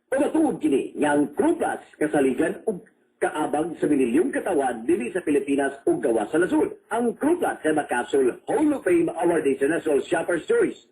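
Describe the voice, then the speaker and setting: Male radio announcer, Cebuano Filipino accent, scripted advertising copy. Formal and emphatic, lively, slightly high register. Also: low-quality recording.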